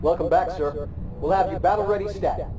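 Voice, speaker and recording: An actor playing a young, eager ship crewman in a game, brisk and dutiful, heard over an intercom. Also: sits high. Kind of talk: game dialogue, status reports. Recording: echoey, distant, loud music behind.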